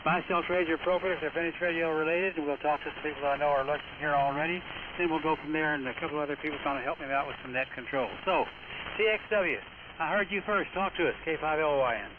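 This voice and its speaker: A man hosting an amateur radio net over single-sideband, talking in a casual, folksy, unhurried way. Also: fairly high pitch. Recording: noisy, narrow-band shortwave radio transmission.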